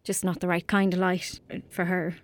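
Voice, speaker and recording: A woman's voice: fairly low pitch, level tone, moderate pace, natural voice. A woman with a rural Irish accent playing a tender, wistful young girl in an audio drama. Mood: wistful, sad. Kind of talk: audio drama acting, monologue and dialogue.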